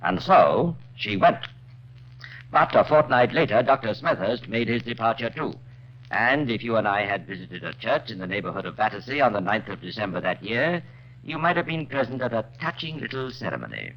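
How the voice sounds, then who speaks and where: A man's voice: mid-range voice, very animated, normal speed. A man narrating as a wry, urbane true-crime storyteller in 1950s radio drama style, dry and conversational. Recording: muffled, noisy old radio recording.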